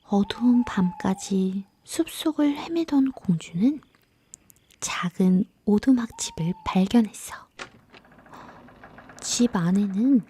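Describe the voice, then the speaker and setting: Slow, hushed woman telling bedtime tales. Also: mid-range voice, lively intonation, slightly rough. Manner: whispery, gentle, soothing. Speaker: storyteller. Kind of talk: soft bedtime fairy-tale narration.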